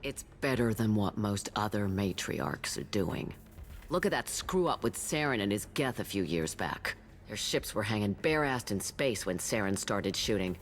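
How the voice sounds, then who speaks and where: A woman's voice: very deep voice, expressive, moderate pace, a little hiss or hum. A woman playing a blunt, salty old alien matriarch who tends bar in a video game, world-weary in manner. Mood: bitter, wry. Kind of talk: video game dialogue acting.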